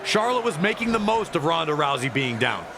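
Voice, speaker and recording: Guy doing dramatic wrestling recap narration, pretty high voice, big noisy crowd roaring behind him. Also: very animated, fairly quick. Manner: emphatic, dramatic storytelling delivery. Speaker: wrestling commentator. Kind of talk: scripted dramatic sports retrospective narration. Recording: loud crowd noise behind the voice.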